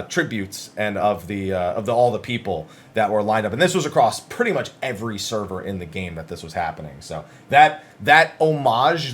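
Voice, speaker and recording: A man giving casual, conversational commentary as the host of a gaming news channel, summarizing interviews with emphasis. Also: mid-range voice.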